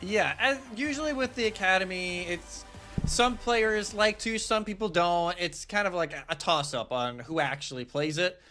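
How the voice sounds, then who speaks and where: A man's voice: high-pitched, normal speed, pushed and bright. A man working as an esports caster, giving a casual, conversational match preview with emphatic phrasing. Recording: music playing behind. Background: background music.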